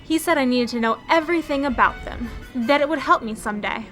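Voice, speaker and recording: A woman playing a capable, confident young masked crime-fighter and narrating a radio drama in a brisk, matter-of-fact way. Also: sits high, fairly bright tone, faint music behind. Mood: focused.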